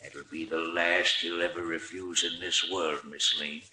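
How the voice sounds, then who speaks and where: An actor playing a coldly threatening small-town thug, speaking in curt, rough colloquial phrases in 1940s radio style. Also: male voice, low-pitched, flat delivery, measured pace, pushed and bright, exaggerated voice. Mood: cold menace. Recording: echoey old radio recording, faint noise.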